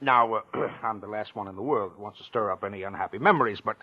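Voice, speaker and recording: A man's voice: fairly low pitch, fast, natural voice. An American actor playing a fussy, pushy insurance salesman in 1940s radio drama, stammering, bumbling and repeating himself. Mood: flustered, pushy. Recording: dull 1940s radio recording.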